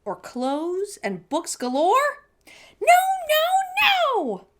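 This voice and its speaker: A woman's voice: very high-pitched, wildly swooping pitch, very slow, background noise, voice pushed up, exaggerated voice. A woman voicing a spoiled, haughty young girl in a storybook with emphatic insistence. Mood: screaming, demanding.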